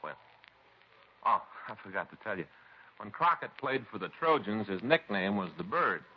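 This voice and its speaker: An American actor playing a chatty, stubborn police detective's partner in a 1950s radio drama, with a folksy, insistent way of talking. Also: male voice, lowish voice, very animated, normal speed, a little hiss or hum. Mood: earnest, stubborn. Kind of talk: 1950s radio crime drama acting, conversational. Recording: dull old radio recording.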